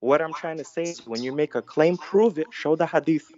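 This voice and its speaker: A man's voice: a little high, brisk, dark tone, dull audio. A man calling into a debate show, insistent and stammering, repeating his demand for proof. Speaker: caller. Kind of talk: argumentative phone-in debate.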